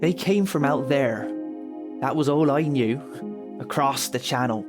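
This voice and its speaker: A man's voice: medium pitch, measured pace, loud music behind the voice, lightly acted. A man playing an aggrieved, foul-mouthed teenage son in an audio drama, slangy and sardonic. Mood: sardonic storytelling.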